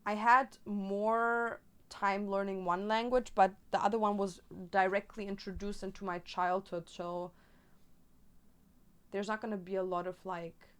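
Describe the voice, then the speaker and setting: A woman's voice: medium pitch, flat delivery, a little slow, a bit bright, smooth. A young woman from Germany, a native Spanish speaker, chatting casually and rambling with lots of fillers while she crafts on stream.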